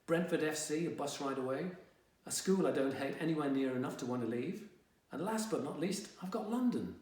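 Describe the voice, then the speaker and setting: A man's voice: a little high, a little mellow, far from the mic. Sullen teen boy narrator played by a man, wry and indignant, young adult audiobook, very echoey room. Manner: wry, dramatic, exasperated teenage grumbling. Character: sullen, indignant fifteen-year-old boy telling his story. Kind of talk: first-person young adult audiobook reading.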